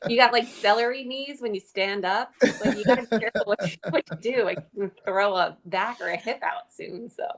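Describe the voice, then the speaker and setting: A woman in her forties with a mid-range voice chats casually as a guest. She talks with a relaxed, chatty flow and uses "like" a lot. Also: medium pitch, a little fast, hardly any pauses. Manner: chatty, heavy use of "like". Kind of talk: casual chat.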